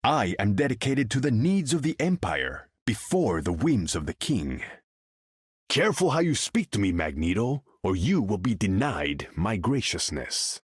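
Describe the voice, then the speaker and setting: A haughty sovereign of the seas from a video game. The voice is fairly low and rough-edged, swinging widely in pitch. The speech is formal and grandiose, as from a throne. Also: male voice, very animated, measured pace, dry recording, clean studio sound, exaggerated voice. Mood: disdainful. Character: proud, imperious undersea king of an ocean empire. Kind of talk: video game character voice lines.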